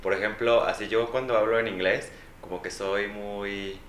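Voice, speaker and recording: Lower-voiced joking man co-host. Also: fairly even intonation, a bit bright. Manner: joking, quick interjections. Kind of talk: casual comedic chat. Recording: echoey room with faint noise.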